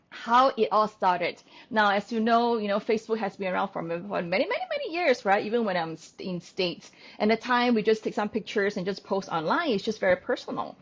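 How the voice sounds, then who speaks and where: A woman's voice: a little high, muffled recording. A woman hosting a casual livestream, rambling along with plenty of fillers and restarts. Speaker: livestream host. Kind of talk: casual live-stream chat.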